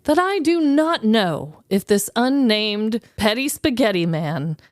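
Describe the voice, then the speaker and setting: A woman's voice: a little high, sing-song, takes it slowly, no echo. Casual woman podcaster, very dry sound. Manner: informal, slangy. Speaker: podcast host. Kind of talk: casual chat.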